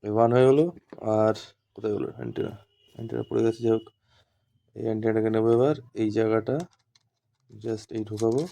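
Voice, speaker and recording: A man's voice: lowish voice, fast talker, vocal fry, long pauses, mellow. A man giving an informal hands-on tutorial as an instructor, explaining step by step and repeating himself. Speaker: instructor demonstrating walkie-talkies.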